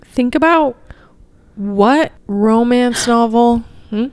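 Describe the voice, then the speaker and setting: A woman's voice: fairly high pitch, slow, a little mellow, clear, resonant tone. A young woman co-hosting a podcast, talking informally with a friend into a handheld microphone held close. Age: young adult. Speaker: podcast co-host. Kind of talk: casual chat. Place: living room, indoors.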